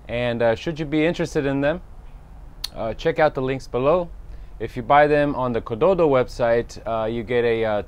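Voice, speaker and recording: A man's voice: mid-range voice, clear, resonant tone. A man, hosting a casual airgun review outdoors with theatrical announcing. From Italy. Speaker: airgun channel host. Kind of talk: casual product review talk. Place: outdoor backyard shooting range.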